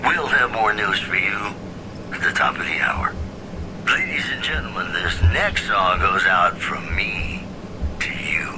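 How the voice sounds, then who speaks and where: Suave retro game radio host, low-pitched, unhurried, flirtatious, courteous, noisy recording. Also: male voice, expressive. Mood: suave, composed. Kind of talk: retro lounge radio announcing. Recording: noisy game audio under stream.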